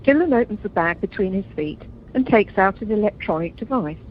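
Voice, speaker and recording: A woman's voice: mid-range voice, animated, brisk, lightly acted. A woman acting as narrator, reading scripted action for an amateur comedy radio play. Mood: descriptive. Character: female narrator describing the action. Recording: muffled conference call, faint noise.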